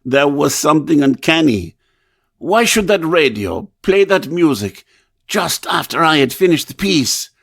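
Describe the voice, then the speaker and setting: A man's voice: fairly high pitch, very animated, a little slow, creaky, breathy edge, no echo, studio quality, exaggerated voice. A man playing an anguished composer who fears madness in an old-time radio drama, intense, brooding and theatrical. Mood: desperate. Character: haunted, obsessed male composer, anguished and fearful of madness.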